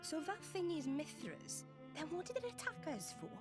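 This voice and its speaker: A woman's voice: extremely high pitch, sing-song, normal speed, over music. An actress playing a blunt, skeptical young female companion in a game cutscene, colloquial and grumbling. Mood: skeptical, dismayed.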